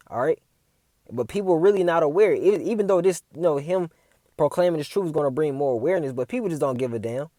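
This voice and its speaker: A man's voice: fairly high pitch, dark tone, no echo, clean studio sound. A man giving a casual religious commentary as a preacher, reading scripture and tagging his lines with "man" and "all right?".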